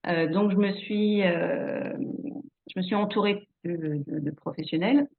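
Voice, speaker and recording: A woman's voice: on the low side, a little slow, creaky. A woman hosting a casual interview, stammering and restarting as she talks. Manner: stammering restarts, laughs easily. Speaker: host, channel founder. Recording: phone-like video call audio.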